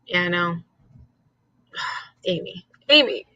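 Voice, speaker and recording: Bright-voiced woman chatting casually on a livestream. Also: on the low side, animated, slow, no echo, lo-fi sound. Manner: giggly, repeats words for emphasis. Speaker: co-host of a live reading sprint stream.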